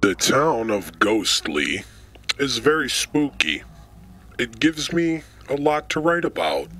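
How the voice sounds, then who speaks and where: A man's voice: mid-range voice, very animated, slow pace, fairly bright tone. A man voicing a gloomy, courteous author of spooky books in a children's radio drama, speaking theatrically. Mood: proud.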